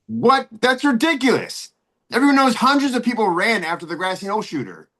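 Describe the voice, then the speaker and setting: A man's voice: high-pitched, big pitch swings, a little fast, bright, forceful tone, heavily acted, low-quality recording. A man playing an incredulous, easily upset sceptic in a screenplay table read, reacting with disbelief. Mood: indignant. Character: Tom, incredulous male sceptic, easily upset audience member.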